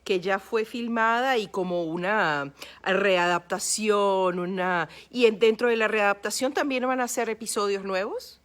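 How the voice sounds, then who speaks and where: A woman interviewing a guest in a radio studio, asking calm questions and summing up his answers. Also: mid-range voice, measured pace.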